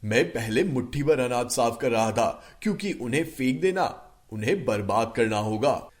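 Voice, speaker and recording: A man voicing a calm, wise god of wealth in a cartoon myth, instructing in a measured way. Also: medium pitch, close to an everyday voice. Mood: calm, instructive. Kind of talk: cartoon mythological storytelling dialogue.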